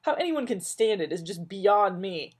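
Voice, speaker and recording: A woman's voice: medium pitch, animated, normal speed, husky, voice pushed up. A woman voicing a neurotic, irritable male doctor who complains in an exasperated, whiny way. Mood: relieved.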